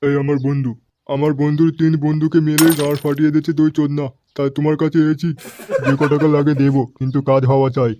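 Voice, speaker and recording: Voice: medium pitch, level tone, fast, warm tone, close to an everyday voice. A performer voicing a boastful, vengeful tough friend in a comedy cartoon, blustering and crude. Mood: determined. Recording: dull lo-fi sound, faint noise.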